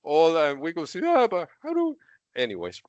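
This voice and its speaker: A man's voice: a little high, huge pitch swings, moderate pace, a little mellow, no room sound, highs cut off. A middle-aged man from Argentina, a podcast guest who tells stories in casual chat and laughs often. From Argentina, Patagonia. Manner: laughs often while telling stories, many fillers.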